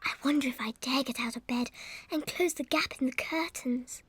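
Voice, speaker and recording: A woman playing a curious, easily frightened young British orphan girl in a dramatized audiobook, breathy and exclamatory. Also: sits high, moderate pace, fairly bright tone, dry recording. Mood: hushed wonder.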